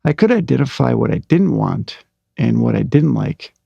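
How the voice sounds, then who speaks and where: A middle-aged man hosting a podcast, talking in a calm, unhurried way and reading aloud from his own blog post. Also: mid-range voice, gravelly, dry recording, highs cut off. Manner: calm, slow and unhurried, with fillers. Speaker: podcast host.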